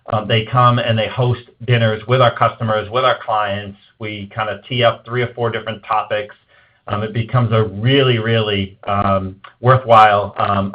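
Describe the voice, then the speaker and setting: A man giving informal, explanatory business advice as a webinar guest, often softening points with casual hedges. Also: medium pitch. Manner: explanatory, hedging with "kinda" and "you know". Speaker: marketing executive guest. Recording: slightly dull, lo-fi webinar audio.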